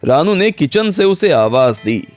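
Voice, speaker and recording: A man's voice: on the high side, sing-song, measured pace. A man narrating a scripted audio story in the role of storyteller. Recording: background music under the voice.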